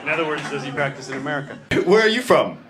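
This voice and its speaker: A man doing stand-up crowd work, teasing audience members in a halting back-and-forth. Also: a little high, very animated. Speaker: stand-up comedian. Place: comedy club stage with audience laughter. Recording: roomy live club, loud crowd noise.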